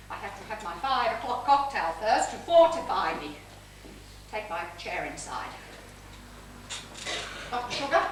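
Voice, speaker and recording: An imperious older society widow, played by a stage actress, ruthless and theatrical. Her voice is bright and rather high, heard from a distance in a very reverberant hall. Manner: domineering, theatrical, deliberate. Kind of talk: stage play acting. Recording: very echoey theatre, distant mic, noisy.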